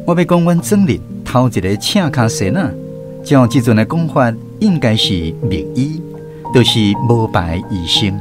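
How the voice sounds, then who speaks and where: A man with a Taiwanese accent hosting and narrating a scripted radio programme in an expressive storytelling manner. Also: mid-range voice, moderate pace. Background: background music, plucked guitar.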